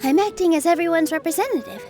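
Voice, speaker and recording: A woman playing a gentle, earnest young female dancer in a video game, thoughtful and sincere. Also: extremely high pitch, fast talker, soft background music. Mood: uncertain, resolving. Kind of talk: video game dialogue acting.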